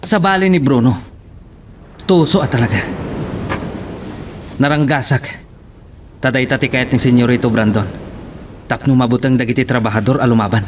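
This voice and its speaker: A man's voice: mid-range voice, normal speed, pauses often, noisy background, lightly acted. A man with an Ilocano accent playing a brutal, mocking henchman in a radio drama. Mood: boastful, harsh.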